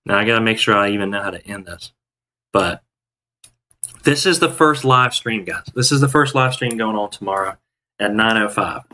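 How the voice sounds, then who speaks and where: A man's voice: fairly low pitch, moderate pace, bright, forceful tone. A man in his thirties chatting casually on a day-trading live stream from home, rambling with restarts and fillers. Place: home room, indoors. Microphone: headset.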